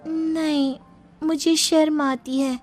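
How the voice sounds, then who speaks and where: A woman's voice: sits high, little pitch movement, slow, a little mellow, soft background music, voice pushed up. A woman voicing a young bride, shy yet cheeky and defensive, in an acted moral story. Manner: coy, defensive.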